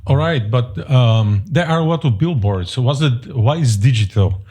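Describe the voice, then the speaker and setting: A man hosting an interview podcast, halting, with many fillers and repeated words. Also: mid-range voice, expressive, mellow, slightly rough. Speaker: podcast host, realtor.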